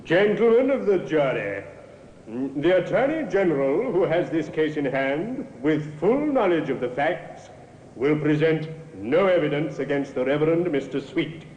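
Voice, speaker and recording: An actor playing a stern, formal judge with a weighty, caustic manner in an old-time radio drama. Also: male voice, a little high, sing-song, measured pace, darkish tone. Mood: formal, authoritative. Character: stern, caustic male judge addressing a jury. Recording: echoey, dull, faintly noisy old radio recording.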